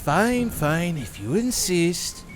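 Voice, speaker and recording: A man's voice: high voice, very animated, slow, over music, voice pushed up, heavily acted. A man playing a sly, mischievous avian rogue in a fantasy audio drama, casual and offhand.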